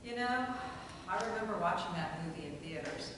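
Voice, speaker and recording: A woman's voice: lowish voice, animated, brisk, close to an everyday voice. A woman reading the part of a brusque, world-weary grandmother in a play's table reading, dry, blunt and folksy. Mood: reminiscing. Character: brusque, world-weary grandmother working beside a young colleague. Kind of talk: table reading of a stage play. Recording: very echoey hall from far off, faint noise.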